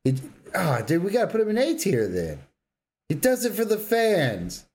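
A man's voice: sits high, wildly swooping pitch, moderate pace, a little mellow, breathy edge. Casual, slangy man on a podcast. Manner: informal, slangy, emphatic agreement. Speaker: podcast co-host. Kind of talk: casual gaming podcast banter.

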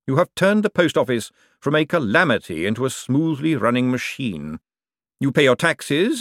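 A man reading aloud and voicing a cold, calculating ruler who speaks slowly with icy politeness. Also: medium pitch, no room sound, clean studio sound, voice pushed up. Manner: slow, measured, icily polite. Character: cold, silkily menacing male ruler, patient tyrant.

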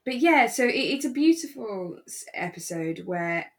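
A British woman co-hosting a relaxed fan podcast, chatting in an effusive, sentimental way. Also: on the high side, normal speed. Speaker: podcast co-host. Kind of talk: relaxed fan chat about a radio drama.

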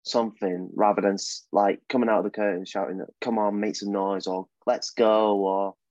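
A young British man answers questions in a casual podcast, hesitant and full of "um"s and "like"s. His voice sits a little low and is recorded dry and close, with a dull, lo-fi tone. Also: flat delivery, normal speed, darkish tone, dry recording. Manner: hesitant, many fillers and restarts. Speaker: wrestler guest.

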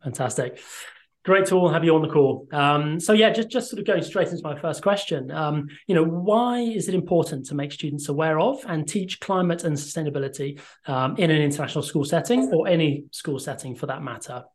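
Guy hosting a podcast, a bit higher voice, keeps it polite with ums. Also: expressive, brisk. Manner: polite, brief prompts with ums. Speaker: host. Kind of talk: podcast interview.

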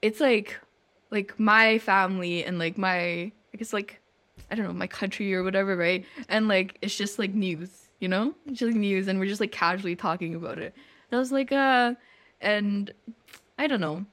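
A woman's voice: mid-range voice, moderate pace, a bit bright. Chatty young woman from Nepal. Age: young adult. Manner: chatty, many fillers and restarts. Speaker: co-host. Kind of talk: casual chat.

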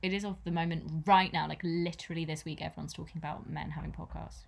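A young English woman in her twenties co-hosting a casual podcast, dry and self-mocking with quick asides. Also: sits low, steady tone, gravelly. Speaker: podcast co-host. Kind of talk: casual chat.

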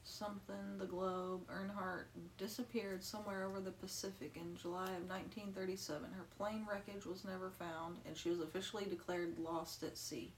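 A woman in her early thirties, chatting casually as a podcast co-host, playful and quick to laugh. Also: medium pitch, level tone. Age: 30s. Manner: laughs easily, reads trivia aloud, playful. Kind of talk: casual chat. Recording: distant, faint hiss.

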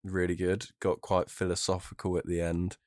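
Male co-host, British, very low pitch, mild head cold, informal gaming talk, dry recording. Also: completely flat delivery, moderate pace, no room sound. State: bunged-up nose from a cold. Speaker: podcast co-host. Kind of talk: casual chat about video games.

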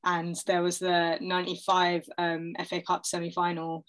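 A woman in her thirties with a London accent, chatting casually as an interview guest, self-deprecating and quick to laugh. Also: lowish voice, completely flat delivery, a bit bright. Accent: London English. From North London, England.

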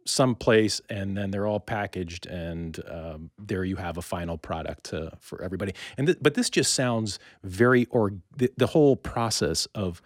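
A man hosting a conversational interview, with halting, reflective and wordy phrasing. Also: low-pitched, no room sound, clean studio sound. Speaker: interview podcast host.

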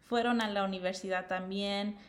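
A young woman co-hosts a casual podcast in a mid-range voice. She talks in a relaxed, unhurried way with small pauses. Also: mid-range voice. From California. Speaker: podcast co-host. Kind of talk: casual chat.

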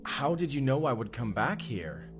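A man's voice: medium pitch, sing-song, normal speed, soft background music, close to an everyday voice. A man playing an urgent, determined time traveler in an audio roleplay drama, earnest and intense. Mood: defiant. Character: urgent, determined male time traveler, protective of his partner.

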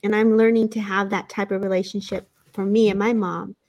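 A woman hosting a conversational interview, speaking in a gentle, openly appreciative way. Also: medium pitch, flat delivery, brisk. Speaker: host.

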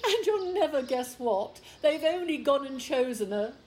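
An actress playing an indignant, fussy British village wife in a radio play, with a theatrically polite manner. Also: female voice, high-pitched, big pitch swings, moderate pace, darkish tone. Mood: indignant, scheming. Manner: theatrical, exaggeratedly polite on the phone.

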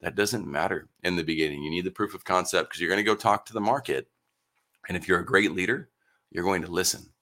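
A man hosting a live business podcast, hoarse from losing his voice, rambling and upbeat through his intro and sponsor mentions. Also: low-pitched, fast, pushed and bright. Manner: rambling, upbeat, self-deprecating. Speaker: podcast host.

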